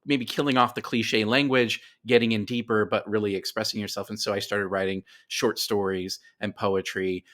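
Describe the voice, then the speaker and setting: A man's voice: fairly low pitch, little pitch movement, normal speed, hardly any pauses, fairly bright tone. An American man in his forties telling stories casually as an interview guest, in a rambling, self-deprecating way. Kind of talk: casual conversational storytelling.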